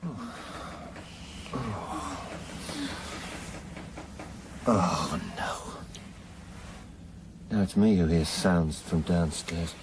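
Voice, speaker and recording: An actor playing a sardonic, guarded police detective in a radio crime drama, with a dry manner. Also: male voice, sits low, drawn-out words, long pauses, a little hiss or hum. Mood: grim. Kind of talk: 1990s radio drama acting.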